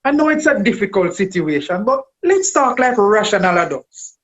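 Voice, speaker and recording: A man's voice: sits high, big pitch swings, moderate pace, fairly bright tone, lo-fi sound. An actor playing a reasonable, peacemaking male pastor in a radio drama. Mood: calming.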